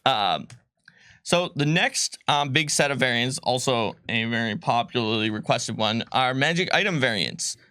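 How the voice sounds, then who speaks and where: A man's voice: medium pitch, expressive, moderate pace, pushed and bright, clear, resonant tone, no room sound, clean studio sound. A man co-hosting a casual livestream, explaining game rules with many fillers and restarts. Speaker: game designer co-host. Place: studio.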